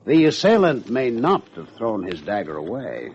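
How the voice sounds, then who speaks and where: Raspy, officious police officer. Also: male voice, mid-range voice, huge pitch swings, normal speed, darkish tone. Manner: indignant, officious. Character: brusque, self-righteous police officer leading a search. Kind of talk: old-time radio drama acting. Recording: muffled old radio recording.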